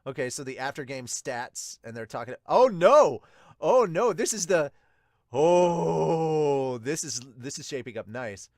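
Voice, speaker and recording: A man hosting a podcast, reading aloud and reacting casually with excitable exclamations. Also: on the high side, a little slow, dry recording, clean studio sound. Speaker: podcast host and reviewer. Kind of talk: manga chapter dub reading and casual reaction commentary.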